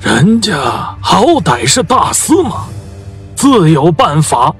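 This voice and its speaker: High-voiced, haughty court official. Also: male voice, big pitch swings, slow, soft background music, full character voice. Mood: dismissive. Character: haughty, dismissive male imperial court tutor. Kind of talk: Chinese period audio drama acting.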